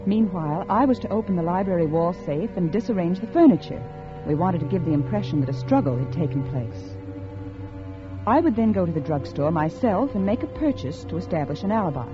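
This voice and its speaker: An actress as a calculating, unfaithful wife in a vintage radio thriller. Her voice swings widely in pitch, with melodramatic emphasis and pauses. The old recording is dull, with music behind it. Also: lively intonation, close to an everyday voice. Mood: cold, confiding. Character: scheming, calculating unfaithful wife plotting her husband's murder. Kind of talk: 1950s radio suspense acting with confiding narration.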